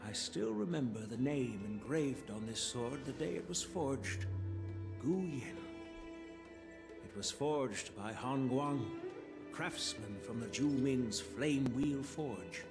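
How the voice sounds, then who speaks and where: Elderly general, game: ceremonious, proud, animated melody, clear music underneath. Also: male voice, medium pitch. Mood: solemn, ceremonious. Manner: ceremonious, measured. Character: dignified old grandfather general, stern yet proud. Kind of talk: video game voice acting.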